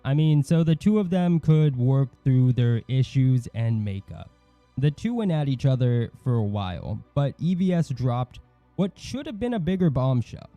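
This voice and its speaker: Man narrating, very dark mellow voice, dry. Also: medium pitch, big pitch swings, moderate pace, dry recording, lo-fi sound, soft background music. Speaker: commentary video host. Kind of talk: scripted narration commentary.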